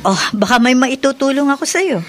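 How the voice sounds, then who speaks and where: Reassuring wife and mother in radio drama; lively intonation, faint noise. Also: high voice, a little fast. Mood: puzzled, concerned. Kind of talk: Filipino radio drama acting.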